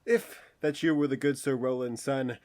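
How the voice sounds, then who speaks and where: An actor playing a courteous, generous exiled duke in a Shakespearean radio play, formal and courtly in delivery. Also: male voice, medium pitch, fairly even intonation, normal speed, a little mellow, faint music behind, close to an everyday voice. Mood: benevolent, reflective.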